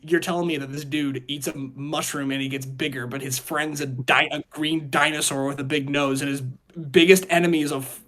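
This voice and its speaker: High-voiced man chatting on a podcast. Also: fairly bright tone. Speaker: podcast co-host. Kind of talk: casual podcast discussion.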